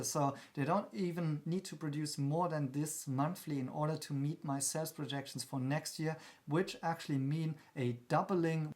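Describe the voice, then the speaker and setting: A man hosting an investment channel, giving analytical commentary in an explanatory manner with frequent hesitations. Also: on the high side. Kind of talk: analytical commentary on company earnings.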